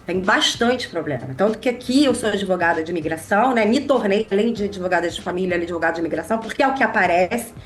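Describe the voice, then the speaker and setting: A Brazilian woman talks casually as a podcast guest. Her medium-pitched voice is a little nasal, congested by a mild cold. Soft music is faintly audible behind her. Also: fast, few pauses. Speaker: podcast guest, immigration lawyer. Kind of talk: casual chat.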